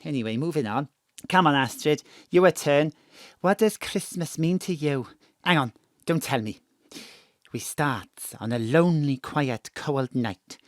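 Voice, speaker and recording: Theatrical old Welsh mam, swooping. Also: male voice, a little high, lively intonation, measured pace, no room sound, studio quality. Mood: storytelling. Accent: South Wales. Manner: theatrical, exclamatory, wheedling. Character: elderly Welsh mam, theatrical, cheeky and easily affronted. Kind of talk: comic audio drama acting.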